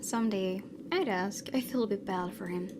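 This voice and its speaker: A woman's voice: medium pitch, moderate pace, a little mellow, a little hiss or hum. A woman hosting a gaming video, reading visual novel narration aloud with casual asides. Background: game music.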